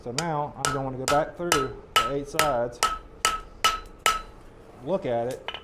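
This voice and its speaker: A hoarse man, losing his voice, folksy and explaining step by step as he demonstrates blacksmithing at a forge. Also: mid-range voice, takes it slowly, heavy background noise. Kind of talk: live teaching demonstration. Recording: loud hammer clanging behind voice.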